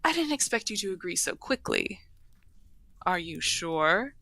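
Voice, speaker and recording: Woman playing a low, courteous half-dragon lady. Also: sing-song, creaky, bright, forceful tone, dry recording, heavily acted. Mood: flustered, surprised. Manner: formal, measured, eloquent. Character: courteous, earnest female half-dragon broker seeking peace. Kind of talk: scripted fantasy audio roleplay, addressing the listener.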